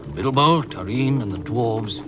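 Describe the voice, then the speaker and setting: A man's voice: mid-range voice, animated, a little slow, noisy background. A man narrating a dramatised story as a scripted storyteller. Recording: loud music under the voice.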